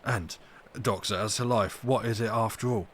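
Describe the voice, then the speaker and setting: A man's voice: lowish voice, fairly even intonation, normal speed, a bit bright, close to an everyday voice. Man acting a cunning, pompous lunatic with noise behind. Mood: sly. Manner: pompous, grandiose, emphatic. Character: cunning, superior madman in an asylum, by turns servile.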